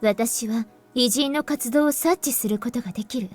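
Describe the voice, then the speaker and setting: Woman acting a composed, high-voiced anime game heroine. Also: quick pace, close to an everyday voice. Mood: serious, explaining. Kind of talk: Japanese visual novel voice acting. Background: game music.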